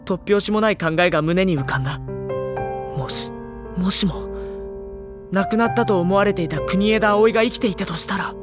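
Man voicing a sensible high-school boy, youthful, earnest, anime audio drama, music behind. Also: moderate pace, long pauses, close to an everyday voice. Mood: brooding, tense. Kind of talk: anime audio drama acting with inner monologue.

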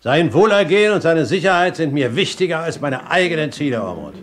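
An actor playing an older pirate captain, suave and commanding, speaking with courteous irony and quiet menace in a radio play. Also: male voice, fairly high pitch, big pitch swings, brisk, a little hiss or hum. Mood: calm, courteous. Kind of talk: 1970s German radio play acting.

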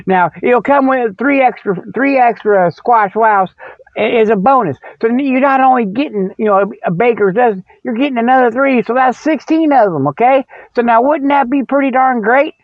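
A man's voice: very high voice, moderate pace, no room sound. Folksy middle-aged man, tinny phone-like sound. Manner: folksy, repetitive, often checks with "okay". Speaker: radio show host. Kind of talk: rambling comedic monologue with spoof ads. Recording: muffled, phone-like radio sound.

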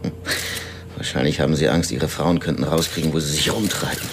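An actor playing a wry, slightly crude police detective reporting to a superior in a radio crime drama, offhand and hesitant. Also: male voice, extremely low pitch, steady tone, a little fast. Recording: clear background noise and scene ambience.

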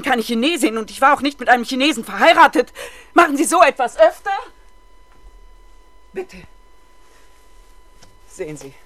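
Proud, expressive middle-aged mother-in-law. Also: a little high, no echo. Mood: indignant, wounded. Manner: chatty, then sharply indignant. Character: proud, indignant mother-in-law questioned by police. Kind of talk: 1970s German radio drama acting.

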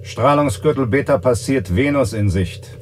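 An actor playing a terse space test pilot in a German radio play, answering in clipped, telegram-like phrases. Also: male voice, medium pitch, normal speed, slight background noise, close to an everyday voice. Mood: clipped, dutiful. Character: terse male space test pilot, obedient but increasingly frightened. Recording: music behind the voice.

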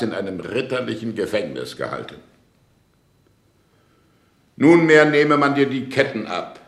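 A man's voice: mid-range voice, breathy edge, heavily acted. A man in a radio play acting a solemn, magnanimous prince who pronounces judgment with stately, ceremonious weight. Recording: long reverberant hall.

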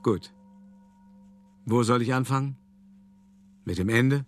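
A man's voice: medium pitch, close to an everyday voice. Man playing a shrewd older art dealer reminiscing. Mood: wry, matter-of-fact. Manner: reflective, conversational storytelling with deliberate pauses. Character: older male art dealer, shrewd, self-satisfied, recounting his past. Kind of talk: radio drama first-person monologue.

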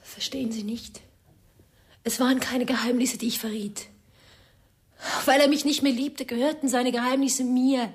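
A woman's voice: a little high, steady tone, moderate pace, pauses often, reverberant, a little hiss or hum, natural voice. An actress playing a bitter, intense spurned woman in a radio crime drama.

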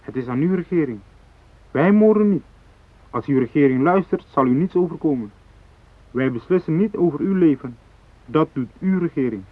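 An actor in a radio drama playing a fanatical, unyielding hijacker who speaks in cold, threatening declarations. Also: male voice, a little high, animated, moderate pace, long pauses, no echo. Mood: cold menace. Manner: declarative, threatening, ideological speechmaking. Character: fanatical South Moluccan hijacker, unyielding, cold, righteous militant. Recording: thin phone-like radio drama recording.

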